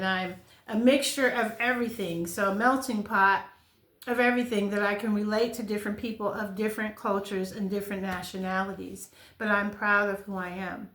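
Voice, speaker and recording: A woman's voice: mid-range voice, a bit bright, slight background noise. An American woman in her fifties from California, telling personal stories in a candid, casual, conversational way. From California, United States. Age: 50s. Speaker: vlogger sharing life stories. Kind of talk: casual personal storytelling to camera. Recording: roomy, echoing sound.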